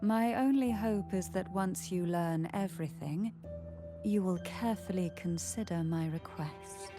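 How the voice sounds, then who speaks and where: An actress playing a poised, enigmatic noblewoman in a fantasy game, speaking in formal, measured phrases. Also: lowish voice, lightly acted. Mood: solemn. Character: poised, cryptic noblewoman of a troubled family. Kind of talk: scripted fantasy game acting. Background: music.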